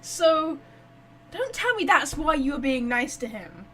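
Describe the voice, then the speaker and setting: A woman's voice: high-pitched, sing-song, slow, fairly bright tone, a little hiss or hum. A woman giving casual let's-play commentary as a gaming streamer, reacting bluntly and with some swearing.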